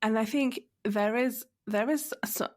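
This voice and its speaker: A woman's voice: a little high, a little slow. A young adult woman hosting a podcast, speaking thoughtfully and hedging with "I think" and "like". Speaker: podcast host. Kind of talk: casual interview chat.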